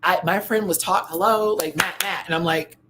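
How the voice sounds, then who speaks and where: A man's voice: sits high, fairly even intonation, moderate pace. Candid American man chatting, noisy background. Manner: candid, chatty, uses slang and asides. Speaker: livestream host. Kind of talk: casual personal storytelling on a livestream.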